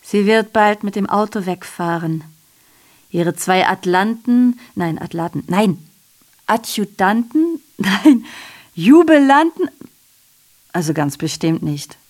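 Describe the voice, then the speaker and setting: A woman's voice: medium pitch, animated, slow, darkish tone. An actress playing a sardonic, aggrieved female messenger in a radio drama, delivering a digressive monologue full of rhetorical questions and self-corrections. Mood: muddled, sardonic.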